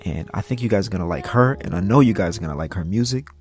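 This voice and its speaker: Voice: low voice, expressive, racing, darkish tone, soft background music. A podcast host introducing a guest and chatting in an interview.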